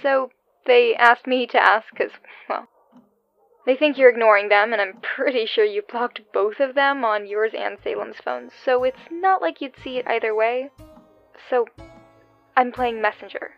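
Woman acting pleading younger sister over the phone, high pitched, a bit lo-fi, radio drama. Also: a little slow, vocal fry, dry recording, soft background music. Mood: weary, insistent. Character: earnest, exasperated younger sister acting as family messenger. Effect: phone call filter. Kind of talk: naturalistic audio drama acting. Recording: dull, band-limited phone call sound.

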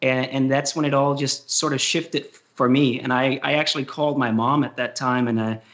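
A man's voice: medium pitch, fairly even intonation, moderate pace, a bit bright. A young Danish man with a Danish accent, chatting loosely as an interview guest, full of fillers and restarts. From Denmark. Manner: rambling, hesitant, frequent fillers and laughs. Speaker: guest singer-songwriter. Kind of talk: casual interview chat.

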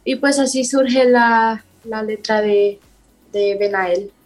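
Young woman, Spanish speaker, halting and searching for words, slightly high voice, faint music. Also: fairly even intonation. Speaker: singer and bassist of a worship band. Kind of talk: interview guest.

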